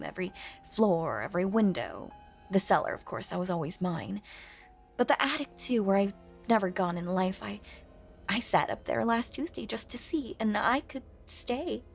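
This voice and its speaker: A lonely ghost woman, acted by a voice actress, speaks in a breathy, creaky hush over music. Her phrasing is genteel and old-fashioned. She sounds sweetly possessive and quietly sinister, as in a spooky audio roleplay. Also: mid-range voice. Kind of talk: intimate scripted audio roleplay monologue.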